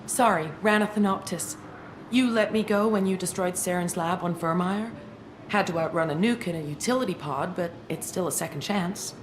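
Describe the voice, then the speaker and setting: Woman as a wry, lower-voiced alien scientist. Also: moderate pace, noisy background, natural voice. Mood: relieved, wry. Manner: wry, conversational. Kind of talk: video game acting.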